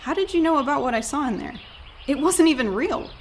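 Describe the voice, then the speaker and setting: A woman's voice: high-pitched, expressive, brisk, slight background noise. A woman playing a sarcastic, skeptical young tourist in a radio play. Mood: agitated. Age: young adult. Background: birdsong, woodland ambience.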